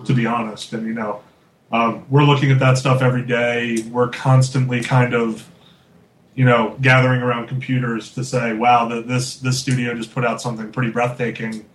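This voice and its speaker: A man's voice: mid-range voice, level tone, moderate pace. A man around thirty, a studio artist, speaking candidly and earnestly in a casual chat, with frequent fillers. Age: 30s. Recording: roomy with faint background noise.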